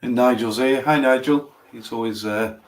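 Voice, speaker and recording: A man co-hosting a livestream on a video call, hesitant, with lots of fillers and soft laughs. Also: medium pitch, steady tone, normal speed. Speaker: livestream co-host. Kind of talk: casual reminiscing chat.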